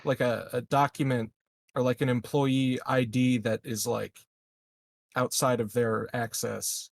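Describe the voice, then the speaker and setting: A man with a mid-pitched voice talks casually as a player at a tabletop game. The sound is dry, with no room around it. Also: little pitch movement, a little slow, gravelly, no room sound.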